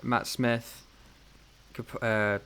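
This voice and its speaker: A man co-hosting a podcast in casual fan chat, excitable and self-deprecating, with some swearing. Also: medium pitch, drawn-out words, noisy background. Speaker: podcast co-host.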